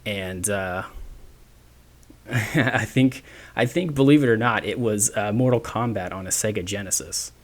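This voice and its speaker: A man's voice: on the low side, a little slow. A man of twenty-nine hosting a solo podcast, talking in a rambling, self-deprecating way with many restarts. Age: 20s. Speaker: podcast host. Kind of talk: casual solo podcast monologue.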